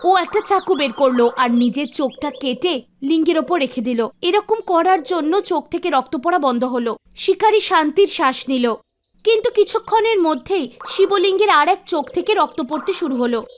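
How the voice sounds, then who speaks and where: High-pitched woman narrating a cartoon story. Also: lively intonation, moderate pace, a little hiss or hum. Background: background music.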